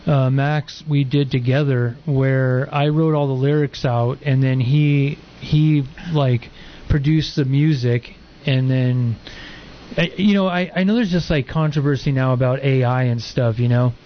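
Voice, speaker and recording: A man sitting in on a radio talk show, chatting casually and rambling through his points with plenty of fillers. His voice sits at an average pitch, and the recording sounds a little dull and lo-fi. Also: steady tone, a little slow, slight background noise. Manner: rambling, lots of ums and likes. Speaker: guest co-host.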